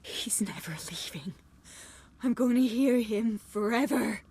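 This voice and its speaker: A woman playing a broken, horrified victim of possession in a video game, speaking in a breathy, halting, anguished way. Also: fairly high pitch, darkish tone. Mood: haunted despair. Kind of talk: video game cutscene acting.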